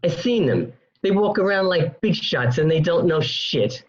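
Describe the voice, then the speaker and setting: A man's voice: on the high side, animated, unhurried. A man playing a mouthy, contemptuous old-school mobster in an amateur radio play, taunting and scornful. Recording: roomy, dull lo-fi home recording.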